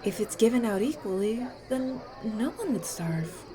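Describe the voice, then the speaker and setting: A woman's voice: a little high, lively intonation, a little slow. A woman playing an idealistic, naive elf in a fantasy audio roleplay, earnest and questioning. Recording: banquet crowd chatter behind.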